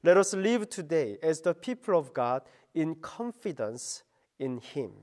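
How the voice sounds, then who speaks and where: A middle-aged man delivers a short radio devotion in the patient, earnest manner of a pastor. His voice sits fairly high for a man. He speaks slowly and carefully, like a sermon. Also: very animated, a little mellow.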